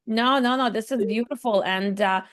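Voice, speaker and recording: A woman's voice: fairly high pitch, normal speed. A woman hosting a podcast interview in an upbeat, bubbly way. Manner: upbeat, bubbly, "super excited". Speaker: podcast host.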